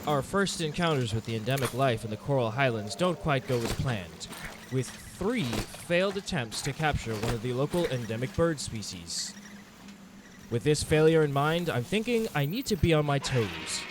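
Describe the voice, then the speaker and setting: A man's voice: mid-range voice, sing-song, normal speed, noisy background. A man narrating a monster-hunting game in the first person, in a dramatic storytelling manner, as a scripted adventure. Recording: music behind the voice.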